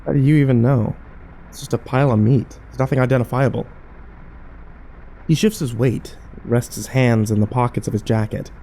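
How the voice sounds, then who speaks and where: A man's voice: mid-range voice, normal speed, dark tone, a little hiss or hum, natural voice, rough recording. A man playing a wary, troubled survivor who narrates a horror audio drama in a colloquial, brooding way. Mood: dazed, subdued. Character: troubled, wary male survivor telling his story.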